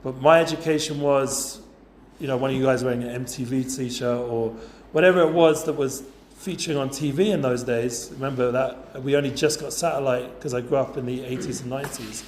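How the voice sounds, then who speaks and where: A man, speaking to a group of students in a loose, chatty way full of asides. His voice sits at a middle pitch. It is recorded in a roomy, echoey space over a faint hiss. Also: steady tone, brisk, darkish tone. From North London, England. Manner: chatty, informal, lots of fillers and asides. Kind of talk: casual talk to a youth group.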